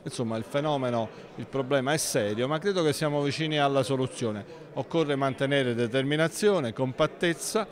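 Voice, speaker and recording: A man gives formal interview answers as a mayor, in measured institutional phrasing. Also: mid-range voice. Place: large indoor council hall. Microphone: handheld interview microphone.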